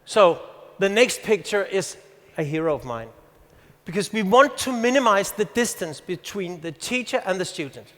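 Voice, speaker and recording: A man's voice: high voice, expressive, a bit bright. Danish man in his fifties speaking in a hall. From Denmark. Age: 50s. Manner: storytelling, reads a passage aloud with pauses. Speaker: conference speaker. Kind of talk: presentation to an audience. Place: large conference hall. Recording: hall reverb.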